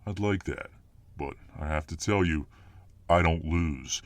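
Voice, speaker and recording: A very low-pitched muscleman fireman voiced by a male actor for an ASMR audio drama. He is dominant and boastful, with a cocky swagger. He is protective of his lover and quick to bristle. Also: no room sound. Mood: boastful, confident. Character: dominant muscular alpha fireman boyfriend, boastful, protective, hot-tempered.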